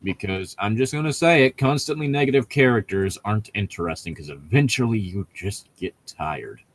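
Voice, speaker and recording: Animated, rambling man with a lowish voice. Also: normal speed, dry recording. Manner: rambling, jokey tangents with self-corrections. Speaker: podcast co-host commenting on a book. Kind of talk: casual comedic chat.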